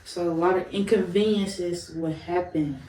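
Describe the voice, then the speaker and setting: A woman's voice: lowish voice, steady tone, a little slow, dark tone, recorded from a distance, a little hiss or hum. A young woman from Chicago, a guest in a casual interview, telling stories candidly, laughing often and swearing freely. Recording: roomy, off-mic, faint ambience.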